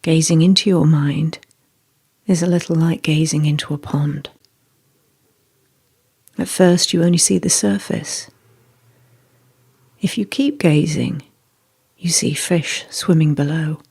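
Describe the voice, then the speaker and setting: A meditation guide reading a scripted guided meditation in a soft, breathy, measured and deliberate way. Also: mid-range voice, fairly even intonation.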